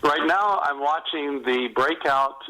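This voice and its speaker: A man's voice: a little high, unhurried, pushed and bright, poor audio. A middle-aged man, an author, talking conversationally as a guest in a podcast interview.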